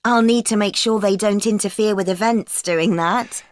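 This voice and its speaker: An actress playing a keen young student in a scripted exam dialogue, agreeable and quick to laugh. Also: female voice, medium pitch, no room sound, close to an everyday voice. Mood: earnest. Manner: eager, agreeable, laughs often. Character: keen young female events-management student. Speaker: student planning a work placement. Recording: dry studio recording.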